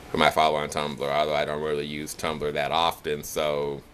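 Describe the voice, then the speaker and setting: A young man from the Chicago area with a Chicago accent, talking in a nasal, monotone way for a casual accent challenge video. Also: a bass voice, normal speed, a little hiss or hum. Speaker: vlogger.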